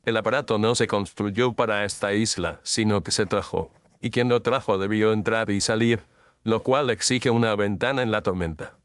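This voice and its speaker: A man playing a calm, incisive detective in an audio drama, speaking in a measured, analytical way. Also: fairly low pitch, clear, resonant tone, no room sound, natural voice. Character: calm, incisive male detective, precise reasoner.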